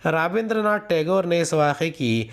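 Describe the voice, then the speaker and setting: A man teaching a lesson, reading numbered answer points aloud in a formal, measured manner. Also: a little high, big pitch swings, vocal fry, clean tone. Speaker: teacher dictating history answers.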